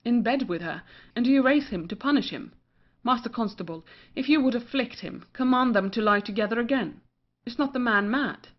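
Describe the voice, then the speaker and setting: A woman's voice: fairly high pitch, fairly quick, close to an everyday voice. A woman playing a brazen, cunning mistress in an old stage comedy, saucy and theatrical. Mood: mocking. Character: brazen, cunning young mistress called Wanton. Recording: muffled lo-fi audio.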